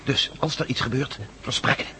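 A man's voice: moderate pace, close to an everyday voice. A man playing a wary, insistent adventurer on the run in a Dutch radio drama. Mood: cautious, brooding. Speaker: actor in a radio play. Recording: faintly noisy old radio recording.

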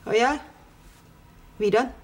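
An actress playing a nosy, scolding middle-aged housekeeper to a priest in a 1970s TV drama. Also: female voice, a little high, slow pace. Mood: gossipy. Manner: reproachful, gossipy. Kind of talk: 1970s television drama acting. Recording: noisy TV soundtrack.

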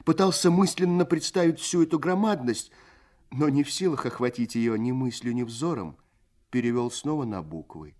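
An actor narrating a Soviet radio play in a lyrical, literary way, with measured, expressive phrasing. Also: male voice, mid-range voice, natural voice. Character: male storyteller, lyrical and unhurried.

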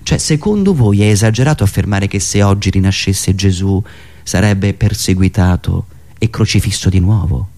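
A man delivering a theatrical political monologue in a dramatic, emphatic manner, with deliberate pauses. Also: deep voice, warm tone. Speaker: satirical stage performer and radio host.

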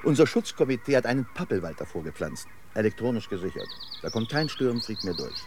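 An eccentric tour guide of a crumbling city in a German radio play. His lowish voice swings widely as he rambles on with quirky, effusive hospitality. There is faint noise behind him. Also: sing-song, quick pace. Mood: boastful, amused. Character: chatty eccentric male local guide in a decayed city. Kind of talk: German radio drama acting.

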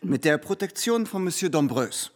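An actor playing an eager, ambitious young man in a radio drama, with an excitable, boastful manner. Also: on the high side, moderate pace, dry recording. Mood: boastful, excited. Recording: music behind.

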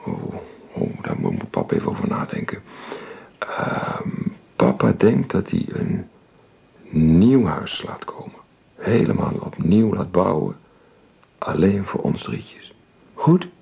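A man playing a gentle, hesitant widowed father in a Dutch radio drama. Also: low-pitched, sing-song, takes it slowly, creaky, pauses often, breathy edge, dull audio, heavily acted. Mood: tender. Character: tender widowed father talking to his little daughter.

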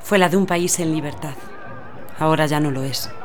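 A woman's voice: low voice, normal speed, soft background music, lightly acted. An actress playing a patient, idealistic mother explaining things earnestly to her child in a radio drama. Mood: earnest, hopeful. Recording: busy outdoor street crowd behind.

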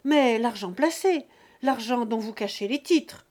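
A man's voice: very high voice, expressive, measured pace, a little mellow, voice pushed up. A woman reading aloud and voicing a sly, greedy younger son pressing his father about hidden money. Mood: insistent. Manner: pushy, insinuating.

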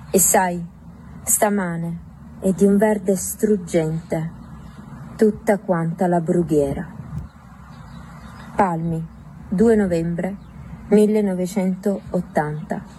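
A woman reciting poetry in a measured way, pausing between short lines. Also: mid-range voice, warm tone, slight background noise, poor audio.

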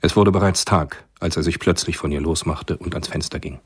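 Man, low husky voice, scripted literary narration, radio drama storyteller. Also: brisk.

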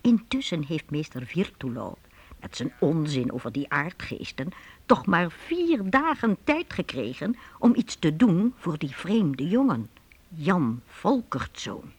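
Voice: medium pitch, big pitch swings, takes it slowly, husky. A narrator telling a story in a radio play in a chatty, explanatory and emphatic way.

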